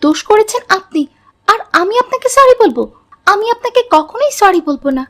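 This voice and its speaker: A woman's voice: very high-pitched, sing-song, fairly quick, a bit bright, full character voice. A woman voicing a headstrong young college girl in a moral cartoon story. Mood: defiant. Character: headstrong college girl Fatema, later remorseful.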